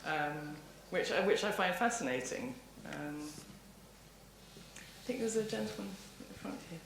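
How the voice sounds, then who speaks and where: A woman's voice: fairly low pitch, lively intonation, moderate pace, gravelly. Woman lecturer in an echoey hall. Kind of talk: audience question-and-answer after a lecture. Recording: roomy lecture hall, faint background noise.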